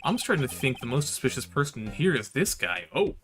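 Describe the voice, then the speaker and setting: A man's voice: mid-range voice, sing-song, a little fast, fairly bright tone, faint music behind. A man giving casual, informal livestream commentary on a game, with swearing in his reactions. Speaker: game streamer.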